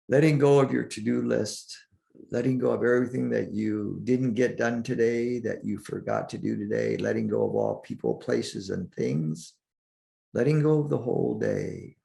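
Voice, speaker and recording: A man guiding a sleep meditation in a soothing, deliberate manner, leaving long pauses between short phrases. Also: mid-range voice, vocal fry, dark tone. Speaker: meditation guide.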